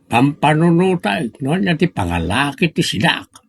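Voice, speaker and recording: A man acting a stubborn, bossy old family father in a comedic audio drama, grumbling in an Ilocano accent with a husky voice. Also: a little high, big pitch swings, measured pace, heavily acted. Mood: grumpy.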